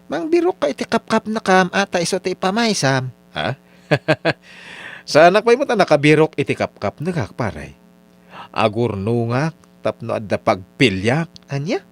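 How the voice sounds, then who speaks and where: A man's voice: on the high side, big pitch swings, measured pace. A Filipino man with an Ilocano accent acting in a radio drama as a carefree, lazy young womanizer. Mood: animated banter.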